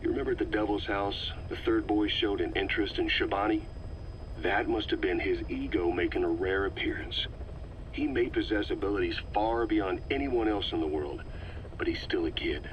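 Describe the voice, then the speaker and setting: A man doing a low-voiced analytical briefer. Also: moderate pace, a little hiss or hum, natural voice. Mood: measured. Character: calm, analytical male agent explaining a conspiracy. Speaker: intelligence briefer on a cassette tape. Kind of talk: scripted game voice acting. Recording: slightly muffled cassette tape recording.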